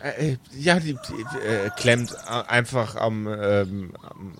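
A man's voice: fairly low pitch, takes it slowly, noisy background. A man acting as game master, improvising narration and bits of character talk.

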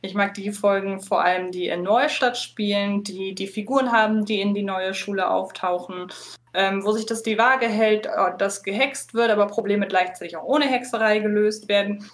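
A woman's voice: medium pitch, steady tone, normal speed. A woman speaking as a guest in casual podcast chat.

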